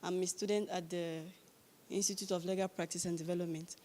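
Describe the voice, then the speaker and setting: A woman's voice: on the low side, little pitch movement, a little fast, mellow, a little hiss or hum. A young woman with a Cameroonian accent, a student putting a formal question from the audience. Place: large conference hall, public address. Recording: reverberant conference hall.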